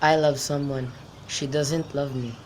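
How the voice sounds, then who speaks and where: A man's voice: on the high side, little pitch movement, measured pace, a little mellow, lightly acted. A young man playing a lovesick, brooding youth in an amateur radio drama of modern-English Shakespeare, with birdsong behind him. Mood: gloomy, lovesick. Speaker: student actor. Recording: faint background noise with birdsong.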